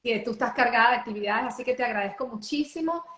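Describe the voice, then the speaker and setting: A woman's voice: fairly high pitch, quick pace, fairly bright tone. Upbeat Venezuelan woman hosting a live show. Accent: Venezuelan Spanish. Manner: upbeat, welcoming. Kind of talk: live online interview show. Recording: roomy echo, faint background noise.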